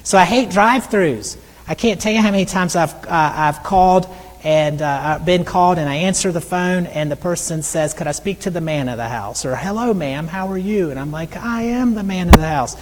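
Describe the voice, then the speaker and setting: A middle-aged male missionary preacher with a soft, high voice that is often taken for a woman's, telling stories in a conversational sermon with frequent restarts. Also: moderate pace. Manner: conversational storytelling with repeated restarts. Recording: long hall reverb.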